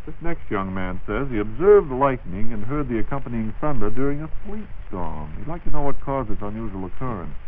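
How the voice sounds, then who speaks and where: A man's voice: mid-range voice, very animated, a little fast, few pauses. An American man hosting a 1940s radio show, presenting questions in a partly scripted style. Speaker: radio host reading listener questions. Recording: dull, faintly noisy 1940s radio recording.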